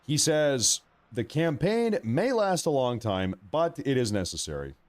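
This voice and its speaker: A man's voice: medium pitch, very animated, unhurried. A man hosting a live stream, reacting informally and commenting on breaking news in a casual way.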